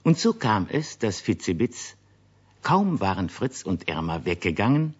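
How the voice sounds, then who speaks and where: A man narrating a children's radio play as a storyteller with a husky voice. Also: lowish voice, big pitch swings, measured pace. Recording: dull, lo-fi old radio recording.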